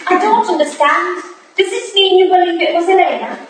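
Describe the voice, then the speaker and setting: A woman's voice: very high-pitched, fairly quick. A woman playing an earnest, questioning young protégée detective in a staged reading of a period play. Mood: puzzled, earnest. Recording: very echoey hall, distant mic, hiss.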